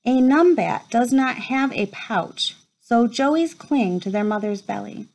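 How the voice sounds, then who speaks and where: Higher-pitched woman reading a children's book aloud. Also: lively intonation, slow, darkish tone, poor audio. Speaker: read-aloud presenter. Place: small furnished room at home, indoors.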